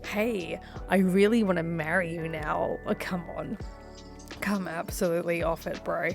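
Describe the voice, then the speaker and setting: Casting: young woman host, croaky from a cough, sassy reaction commentary, faint music behind. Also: on the low side, steady tone, unhurried. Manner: sassy, laughs often, mutters asides. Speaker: host reading and reacting to online posts.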